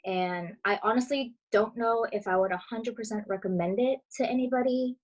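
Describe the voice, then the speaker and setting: A woman in her twenties, born in Brazil and raised in Florida, giving relaxed, chatty interview answers as a dental student over a video call. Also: fairly high pitch, moderate pace, muffled recording. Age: 20s. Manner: chatty, relaxed, many fillers.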